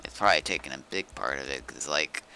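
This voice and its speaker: Low voiced guy streaming a game, really crisp bright edge, kinda thinking out loud, bit of noise. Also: quick pace, low-quality recording. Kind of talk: casual gaming chat.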